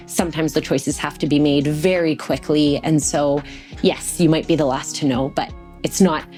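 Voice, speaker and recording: A woman's voice: sits low, fairly even intonation, moderate pace, dark tone, faint music behind. A woman guest in an interview, talking in a reflective way and giving practical advice from her own experience as a teacher. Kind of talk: interview conversation.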